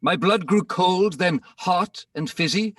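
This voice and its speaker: A man giving a theatrical, measured dramatic reading of rhyming comic verse as the narrator. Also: high-pitched, fairly bright tone, no room sound, clean studio sound, far from the mic. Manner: theatrical, measured storytelling delivery. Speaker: poem narrator.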